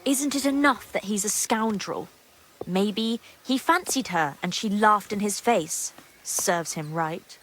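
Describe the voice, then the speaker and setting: A woman's voice: mid-range voice, expressive, slow, a bit bright, poor audio. A woman playing a gossipy, guarded young monastery maid in a medieval video game drama, secretive and earthy in her talk. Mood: indignant, confiding. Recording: clear background ambient noise.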